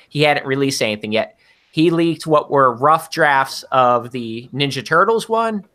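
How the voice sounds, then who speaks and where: A man with a high-pitched voice telling a story as a podcast guest, swearing freely and ranting. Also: fairly bright tone. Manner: profanity-laced ranting. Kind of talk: profane casual storytelling.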